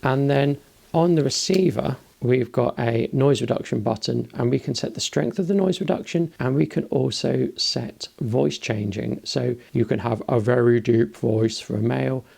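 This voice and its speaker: A man's voice: medium pitch, normal speed, gravelly, darkish tone, breathy edge. A British man giving a casual spoken product review of a gadget.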